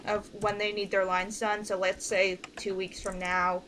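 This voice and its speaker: A woman's voice: medium pitch, little pitch movement, unhurried, a bit bright, slight background noise, rough recording. A woman leading a casual online group meeting, talking informally through instructions step by step. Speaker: organizer leading a voice project meeting.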